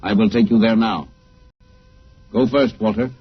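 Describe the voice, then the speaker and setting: An actor playing an emotionless, ageless alien captor who speaks in formal, stilted points, in a 1950s radio drama. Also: male voice, lowish voice, steady tone, measured pace, music in the background, natural voice. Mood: flat, menacing. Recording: dull, lo-fi old radio recording.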